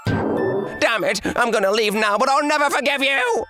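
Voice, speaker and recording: A man's voice: extremely high pitch, wildly swooping pitch, normal speed, music in the background, voice pushed up, full character voice. A man playing a shady, hot-tempered company boss, sputtering and whiny with outrage, in a comic manga dub. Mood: bitter.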